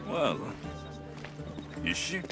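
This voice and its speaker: A young mercenary hero from a video game, speaking over clear background music. He is wary and blunt, with a brooding, vengeful edge. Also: fairly high pitch, big pitch swings, drawn-out words, heavily acted. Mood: guarded. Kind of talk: video game cutscene acting. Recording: music behind the voice.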